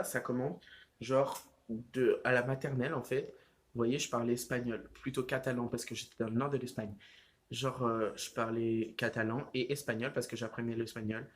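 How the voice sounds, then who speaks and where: A teenage boy chats casually into the camera at home. He rambles, stacking fillers and restarts as he goes. His voice sits at a middle pitch. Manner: rambling, heavy use of fillers like "genre" and "euh". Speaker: vlogger. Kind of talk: casual vlog chat.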